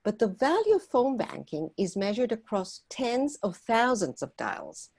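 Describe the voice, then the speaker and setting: A woman from Argentina, a volunteer organizer, giving an earnest prepared talk at a meeting and pausing often on fillers. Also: on the high side, sing-song, vocal fry, darkish tone, slightly rough. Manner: earnest, persuasive, with frequent filler pauses.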